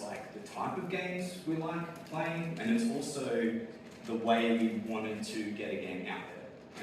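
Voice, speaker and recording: A man with a lowish voice gives a relaxed talk to a room, his words padded with ums and light laughs. He sits well back from the microphone, so his voice rings around a big echoey hall with a steady background hiss. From Australia. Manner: casual, many fillers and laughs. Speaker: board game designer. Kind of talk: conference talk. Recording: echoey hall, speaker far from mic, audible noise.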